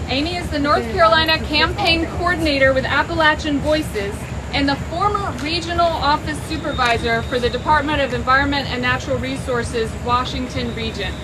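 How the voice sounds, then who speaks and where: High-voiced woman addressing an outdoor crowd. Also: brisk, hardly any pauses. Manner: speaking up without amplification, friendly with fillers. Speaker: advocacy group organizer hosting a press conference. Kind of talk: outdoor press conference speech. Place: outdoors on a college campus, in a crowd. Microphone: recorder at a distance, no sound system. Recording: outdoor crowd and loud traffic noise.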